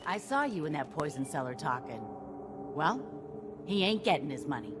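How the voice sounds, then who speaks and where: A woman's voice: deep voice, very animated, normal speed, music in the background. An actress playing a protective, stubborn mother and wasteland trader in a video game, blunt and folksy. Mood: stubborn, bargaining.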